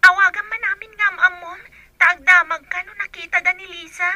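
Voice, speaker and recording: A woman's voice: extremely high pitch, steady tone, brisk, pushed and bright, exaggerated voice. An actress in an Ilocano radio drama playing a worried, urgent young woman with an Ilocano accent. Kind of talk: Filipino radio drama acting.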